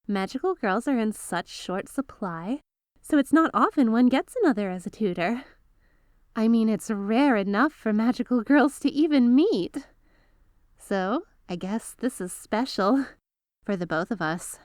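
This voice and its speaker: Woman acting a high-voiced, eager magical girl mentor. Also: no echo, studio quality. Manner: chatty, eager, teacherly, addresses listener directly. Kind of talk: ASMR roleplay, scripted character acting.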